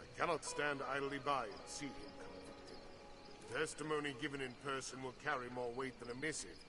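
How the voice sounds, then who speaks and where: An actor playing a dutiful medieval military officer in a fantasy video game, speaking in measured, formal, archaic phrasing. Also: male voice, medium pitch, fairly even intonation, natural voice. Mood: grave, formal. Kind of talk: scripted fantasy game dialogue. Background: game music.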